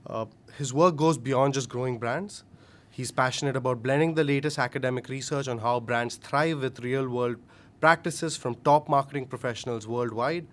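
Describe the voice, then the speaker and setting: A man's voice: mid-range voice, normal speed. A young man hosting a student podcast, reading a formal guest introduction with many filler sounds.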